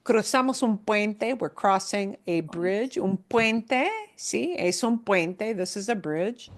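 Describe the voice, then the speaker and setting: A woman's voice: mid-range voice, takes it slowly. A woman teaching a language class, patiently explaining and repeating words. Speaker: Spanish teacher.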